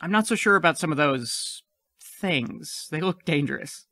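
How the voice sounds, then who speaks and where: A man's voice: on the high side, animated, normal speed, bright, forceful tone, dry recording, studio quality. A man playing a frightened but defiant, clever child in an audio play. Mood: wary.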